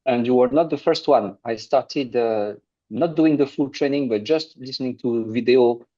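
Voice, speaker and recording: A man from France with a French accent, a guest in a casual interview, speaking earnestly with halting restarts. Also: medium pitch, dark tone, highs cut off. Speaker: guest, agency owner.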